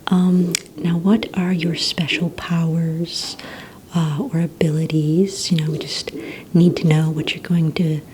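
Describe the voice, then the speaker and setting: Softly whispering woman, slow ASMR roleplay. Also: sits low, little pitch movement, a little hiss or hum. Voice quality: soft, breathy whispering. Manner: polite, gentle, hesitant official questioning. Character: courteous female villain recruitment receptionist. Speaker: ASMR performer playing a receptionist.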